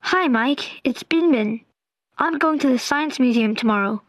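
A woman voicing a friendly schoolboy calling his sick friend, with clear, careful delivery in a textbook dialogue. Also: high-pitched, measured pace, dry recording. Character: friendly young schoolboy Binbin.

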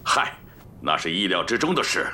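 A man's voice: low voice, big pitch swings, normal speed, sharp tone, slight background noise, heavily acted. A man acting a blunt, outspoken, teasing comrade in a historical drama, with an exclamatory way of talking. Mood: scornful, indignant.

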